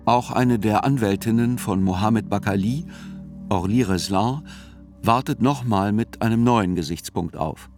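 A man's voice: lowish voice, measured pace, darkish tone, faint music behind, lightly acted. An actor playing a sober, reflective male reporter who narrates a radio drama in literary reportage style.

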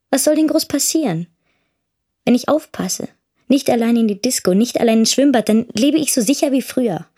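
A woman's voice: a little high, creaky, warm tone, husky, exaggerated voice. A young woman playing a defiant, outspoken teenage daughter telling her story in a radio drama. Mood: defiant, self-assured.

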